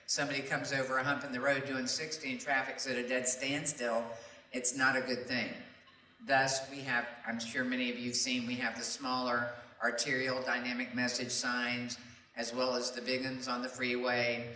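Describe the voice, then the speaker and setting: A man's voice: mid-range voice, level tone, normal speed, sharp tone. A middle-aged man speaking on a panel as a traffic reporting veteran, telling anecdotes in a chatty, folksy way. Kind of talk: animated anecdotal panel talk. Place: large room at a public meeting. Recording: roomy echo, distant mic, background noise.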